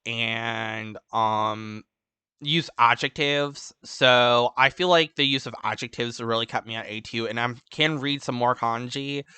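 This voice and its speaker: A man talking through an unscripted vlog update in a bright, forceful voice that sounds very smooth and crisp. He rambles and fills pauses with ums, and he is openly enthusiastic about languages. The sound is close and dry. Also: mid-range voice, dry recording. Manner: rambling, full of ums and restarts. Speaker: language-learning channel host.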